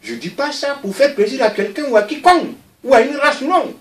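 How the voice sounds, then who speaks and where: Animated man, rambling, voice swinging up and down. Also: extremely high pitch, a little fast, reverberant, distant mic. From Mali. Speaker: interviewee. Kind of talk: recorded video interview.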